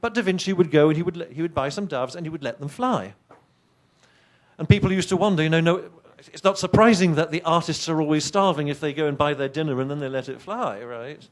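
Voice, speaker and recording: A middle-aged man from England with an English accent, not a native speaker of English, giving a lively, chatty science presentation to an audience with wry asides. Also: on the high side, fairly quick. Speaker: conference speaker.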